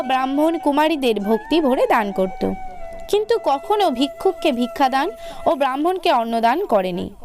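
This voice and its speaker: A woman reading a scripted religious tale as a storyteller, in a formal, measured way. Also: high-pitched. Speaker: devotional storyteller. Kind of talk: scripted religious narration for cartoon video. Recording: music under the voice. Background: background music.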